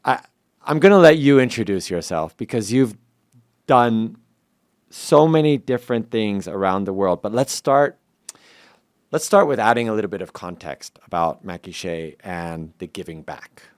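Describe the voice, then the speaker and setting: A man's voice: fairly low pitch, expressive, normal speed, dry recording. Casual middle-aged man hosting, dry sound. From Taiwan. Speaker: podcast host, interviewer. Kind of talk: casual, informal interviewing.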